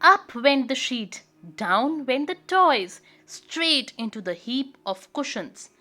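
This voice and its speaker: A woman reads a story aloud for young children. Her voice is high and very animated, and she takes her time with a slow, deliberate delivery. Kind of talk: expressive children's read-aloud narration.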